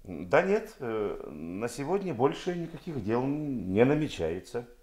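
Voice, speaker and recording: An actor playing a seasoned, kindly police detective chief in a radio play, halting and a little shy. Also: male voice, mid-range voice, sing-song, a little mellow. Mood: tender, bashful.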